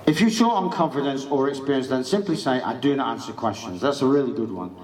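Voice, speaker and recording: A man's voice: mid-range voice, animated, fast, a little mellow, recorded from a distance. A middle-aged man giving an emphatic, informal talk and acting out quoted exchanges. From England. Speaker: speaker giving legal talk. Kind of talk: informal lecture. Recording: echoey room, speaker away from mic.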